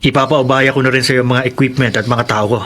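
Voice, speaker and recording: A man's voice: mid-range voice, steady tone, fast talker, a bit bright, slight background noise, natural voice. An actor with a Filipino accent playing a weary, responsible married professional man in an earnest radio drama manner. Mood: tired, concerned.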